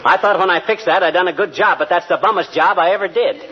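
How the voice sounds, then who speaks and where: An actor playing a blustery middle-aged American husband with slangy, ungrammatical, folksy talk in 1940s radio comedy style. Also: male voice, high-pitched, fast, close to an everyday voice. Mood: exasperated. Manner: folksy, slangy, bad grammar. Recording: noisy, muffled vintage radio recording with clicks.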